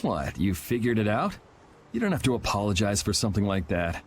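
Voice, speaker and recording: An actor playing a cool, wry young guild vigilante in a video game, speaking in a dry, casual, sardonic way. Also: male voice, lowish voice, very animated, a little fast, a little hiss or hum. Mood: casual, probing. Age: young adult.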